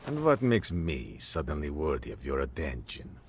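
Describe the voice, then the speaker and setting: An actor playing a menacing, gloating crime boss in a video game cutscene, speaking in a taunting, deliberate way. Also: male voice, a bass voice, very animated, heavily acted. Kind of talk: cinematic video game acting. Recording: faint noise behind the voice.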